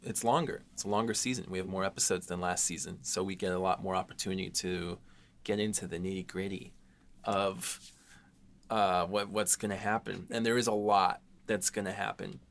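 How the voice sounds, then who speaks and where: A man with a fairly low voice talks as a co-host in a casual podcast discussion. He sounds measured, working out his thoughts aloud as he goes. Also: fairly even intonation, fairly bright tone. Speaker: podcast co-host and series writer. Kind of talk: casual panel chat.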